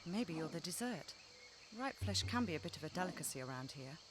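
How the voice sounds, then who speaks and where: A woman's voice: low voice, sing-song, brisk, very noisy. An actress playing a poised, sardonic female adventurer in a video game cutscene, dry and clipped. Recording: game music under the voice.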